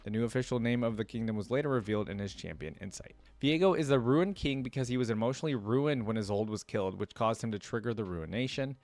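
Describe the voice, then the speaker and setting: A man's voice: mid-range voice, quick pace, few pauses, a little mellow, no room sound. A man hosting a reaction video, reading text aloud and adding casual off-the-cuff commentary.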